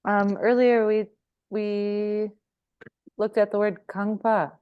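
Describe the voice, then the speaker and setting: Female student, slow and unsure, thinking aloud while she asks a question. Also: mid-range voice, flat delivery, smooth, lo-fi sound. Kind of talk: asking a question in class.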